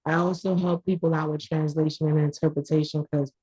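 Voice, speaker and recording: A woman's voice: low voice, level tone, fairly quick, warm tone, husky, no echo, rough recording. A woman whose first language is Haitian Creole, a small business owner talking about her business in a live online class over a video call, candid and hesitant, with many fillers. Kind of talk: casual chat.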